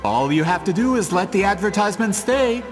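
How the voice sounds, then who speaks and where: High-voiced, pompous scheming merchant. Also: male voice, expressive, a little fast, soft background music. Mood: pleading, scheming. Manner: theatrical, pompous, indignant. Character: scheming, vain male merchant with grand business ambitions. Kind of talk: video game voice acting.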